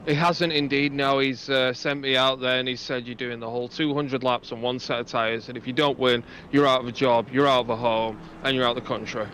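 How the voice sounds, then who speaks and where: A man's voice: medium pitch, steady tone, brisk, hardly any pauses, fairly bright tone, a little hiss or hum, lightly acted. A man with a Manchester accent, a sim racing driver joking through a mid-race interview. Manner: laid-back banter, laughs often.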